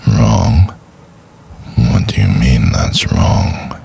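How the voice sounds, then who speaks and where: A man playing an obsessive, possessive stalker lover in a boyfriend ASMR roleplay, murmuring in a breathy, gravelly whisper with coaxing, condescending tenderness. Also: extremely low pitch, sing-song, darkish tone, slight background noise, exaggerated voice. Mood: insistent, jealous. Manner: slow, deliberate, coaxing and condescending.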